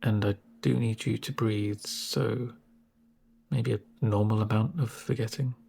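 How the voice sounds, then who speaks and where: A man playing a calm medic in a soft-spoken ASMR roleplay, gentle and reassuring with dry humour and a breathy, near-whispered voice. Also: lowish voice, heavily acted. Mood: dryly amused. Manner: gentle, unhurried, reassuring murmur.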